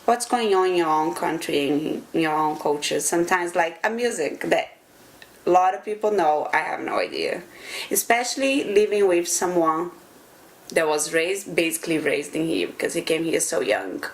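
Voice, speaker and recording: Low creaky-voiced Brazilian woman. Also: normal speed, poor audio. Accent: Brazilian Portuguese. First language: Portuguese. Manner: reflective, earnest, searching for words. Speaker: interviewee. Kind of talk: documentary interview answers.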